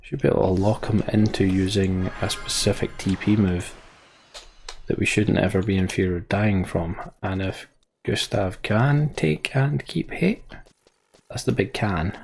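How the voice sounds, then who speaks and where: A man's voice: deep voice, measured pace, creaky, darkish tone, slight background noise. A man giving casual live game commentary, rambling as he thinks aloud. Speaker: game streamer.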